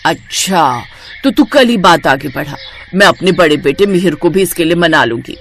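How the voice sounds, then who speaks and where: A woman's voice: fairly low pitch, expressive, normal speed, slight background noise. A woman voicing an anxious, gossipy middle-aged mother-in-law with a dramatic, grumbling manner. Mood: scheming, chatty.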